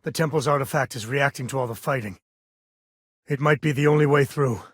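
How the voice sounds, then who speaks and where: Male actor as folksy spacefaring dad, wry, good-hearted, casual, husky voice, dry close sound, video game dialogue. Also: medium pitch, fairly quick, no room sound, natural voice. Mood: easygoing, dry.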